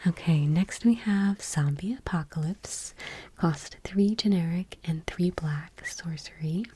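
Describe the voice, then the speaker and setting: A woman softly reading aloud for ASMR in a breathy, whispery voice with a hushed, gentle delivery. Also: on the low side, a little hiss or hum. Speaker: ASMR video host.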